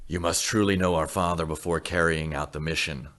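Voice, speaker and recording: A man voicing a stern alien insect pontiff with a rasping voice in a story. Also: low-pitched, fairly even intonation, a little fast, a bit bright. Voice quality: raspy.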